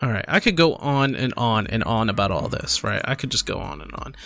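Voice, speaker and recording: A man's voice: on the low side, lively intonation, a little fast, creaky, fairly bright tone. A man giving casual, exasperated gaming commentary while reading posts aloud, repeating himself for emphasis. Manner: exasperated, incredulous, emphatic with repetition. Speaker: gaming streamer and host.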